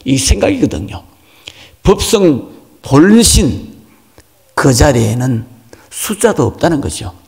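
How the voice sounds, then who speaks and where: Gravelly male monk preaching in a reverberant hall. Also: mid-range voice, darkish tone. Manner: explanatory, with rhetorical questions. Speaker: Buddhist monk, dharma lecturer. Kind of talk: Buddhist sermon explaining scripture.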